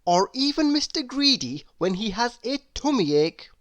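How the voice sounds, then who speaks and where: A woman narrating a children's story in an expressive, emphatic read-aloud style. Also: on the high side, moderate pace, no room sound. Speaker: storybook reader.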